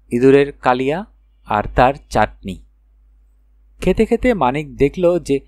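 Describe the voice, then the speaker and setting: A man narrating a children's fairy tale in an expressive storytelling manner with dramatic phrasing. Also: medium pitch, dry recording. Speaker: audiobook narrator.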